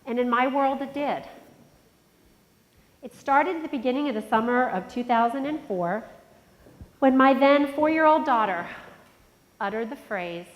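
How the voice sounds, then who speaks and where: A woman's voice: sits high, measured pace, pauses often. Nasal woman speaking in a reverberant hall. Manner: personal storytelling, addresses the audience directly. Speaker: conference keynote speaker. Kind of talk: motivational speech. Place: large conference hall. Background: long reverb of a large hall.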